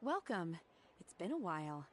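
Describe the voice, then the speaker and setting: A woman's voice: fairly low pitch, very animated, moderate pace, slight background noise. A woman playing a reserved young disciple working as a server in an anime game, polite and plain-spoken.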